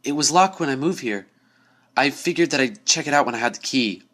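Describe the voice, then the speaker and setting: A man's voice: medium pitch, lively intonation, a little fast, fairly bright tone, voice pushed up. A man voicing an anxious, hesitant young female unicorn pony in a story reading. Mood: uneasy. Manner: uneasy, hesitant.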